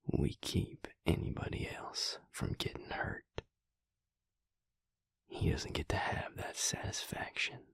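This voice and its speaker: Audio roleplay vampire mate, played by a man: weary, tender, reassuring, half-whispered and gravelly, in a very dry, close sound. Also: dry recording. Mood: firm, resolute. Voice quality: breathy, gravelly low voice. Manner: hushed, slow, deliberate, reassuring. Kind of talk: intimate audio roleplay monologue.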